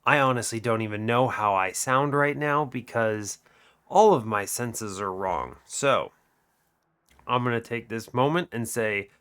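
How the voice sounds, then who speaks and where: A man's voice: on the low side, a bit bright, clean tone. A young man hosting a gaming channel, talking through a card game while sick, his voice muted and a bit nasal, rambling and correcting himself as he goes. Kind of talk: game commentary. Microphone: desk microphone.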